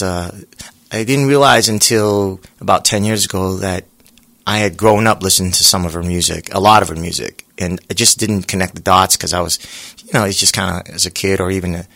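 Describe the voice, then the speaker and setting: A singer being interviewed, talking in a relaxed, rambling way with lots of pauses and fillers. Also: male voice, deep voice, vocal fry. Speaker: singer guest. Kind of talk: casual interview chat.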